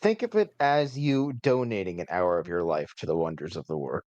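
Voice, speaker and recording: Dull-sounding, animated man in chat. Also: sits low, a little fast, no echo. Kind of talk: casual group chat.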